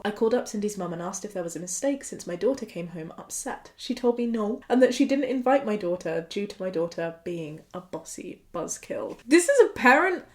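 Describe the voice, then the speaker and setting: Woman, slightly nasal from a cold, bubbly, laughing, medium pitch, casual chat host. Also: animated, fairly quick, hardly any pauses. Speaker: YouTube host. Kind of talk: casual chat reading a forum post.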